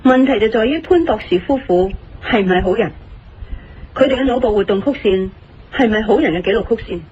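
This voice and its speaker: An actress playing a sharp, confident woman who reasons things out deliberately in a Cantonese audio drama, with a Hong Kong accent. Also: on the high side, animated, slightly rough. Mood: assured, probing. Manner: deliberate, didactic, assured. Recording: slightly muffled radio drama, music underneath.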